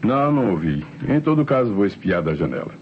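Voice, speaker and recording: An actor playing a curious suburban husband in an old radio drama. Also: male voice, on the low side, very animated, quick pace, slight background noise. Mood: calm, unconcerned. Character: suburban husband curious about a strange sight. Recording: dull old radio recording with music.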